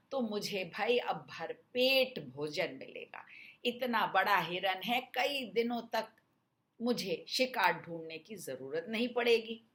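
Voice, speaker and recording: A woman's voice: mid-range voice, a bit bright. A woman narrating a scripted children's story in an explanatory way meant for young listeners.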